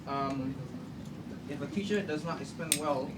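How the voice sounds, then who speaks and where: A man's voice: on the high side, measured pace. A young man giving an informal classroom presentation as a trainee teacher, with frequent fillers and rhetorical questions. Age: young adult. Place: university classroom. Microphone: far camera mic. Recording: distant, echoey room, loud hiss.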